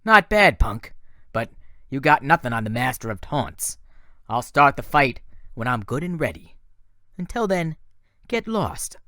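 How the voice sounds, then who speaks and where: A man voicing a brash, bullying god of war who talks loud and sneering. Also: mid-range voice, very animated, a little slow, pauses often, no echo, clean studio sound. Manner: loud, sneering, tough-guy taunting.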